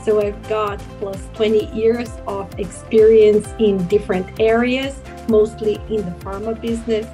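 A woman from Brazil speaks with a Brazilian Portuguese accent, her tone mellow and relaxed. She explains things in an earnest, steady way. Her voice comes through a video call. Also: medium pitch, unhurried, hardly any pauses, soft background music. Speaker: guest speaker. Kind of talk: presentation to a video meeting.

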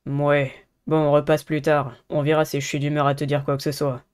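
A man voicing an aloof, sardonic teenage schoolboy in a dating game, with a cool, flat delivery. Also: a little high, brisk, clean tone, close to an everyday voice. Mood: dismissive.

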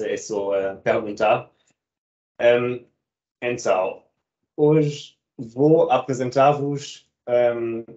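A man's voice: medium pitch, a little mellow, highs cut off. A man from Germany speaking with a German accent, giving an academic presentation as a guest researcher, hesitating often with filler sounds. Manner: hesitant, many ehm fillers.

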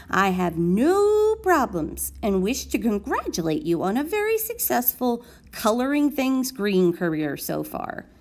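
A woman voicing a contented, polite, peacemaking crayon in a children's storybook, cheerful and courteous. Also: a little high. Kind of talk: children's storybook read-aloud.

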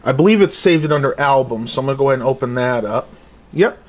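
A man's voice: mid-range voice, expressive, quick pace. Casual man doing a hands-on gadget review. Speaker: product reviewer. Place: small furnished room at home. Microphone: handheld camera's built-in mic, held by the speaker.

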